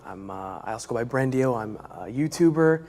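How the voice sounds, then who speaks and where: A man speaking haltingly with many fillers as a debate participant. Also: medium pitch, animated, a little mellow. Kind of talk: filmed debate. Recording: reverberant room.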